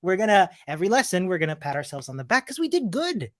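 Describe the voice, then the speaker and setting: A woman's voice: on the low side, very animated, fast talker. A woman from Chile teaching a live-streamed coding lesson, upbeat and chatty as she greets viewers and walks through her shared screen. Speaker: coding instructor.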